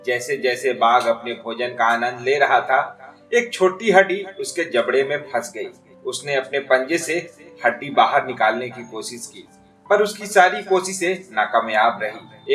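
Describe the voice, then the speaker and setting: A man's voice: medium pitch, unhurried, fairly bright tone. A man narrating a scripted moral story for children as a storyteller. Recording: distant, echoey, music behind.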